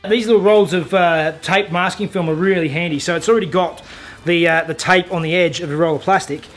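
High-voiced Australian man in a garage. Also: a little fast, faint music behind. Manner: relaxed, practical, self-deprecating, lots of "uh" fillers. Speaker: DIY car repair host. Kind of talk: hands-on workshop tutorial with voice-over. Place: indoor garage workshop. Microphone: lapel mic.

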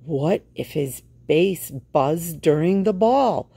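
A woman's voice: deep voice, expressive, takes it slowly, vocal fry, warm tone, husky, no room sound. A woman reading a children's picture book aloud as a storytime reader.

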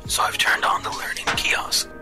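A man voicing a guide robot in a language-learning game, calm and instructive, with a whispery, breathy delivery over game music. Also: normal speed, lightly acted. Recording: game audio with background music.

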